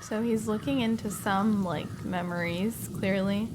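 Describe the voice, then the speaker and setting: A woman's voice: medium pitch, steady tone, measured pace, noisy background. A woman giving casual, informal reaction commentary as a gaming creator, thinking aloud in a hesitant way. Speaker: video game streamer and reviewer.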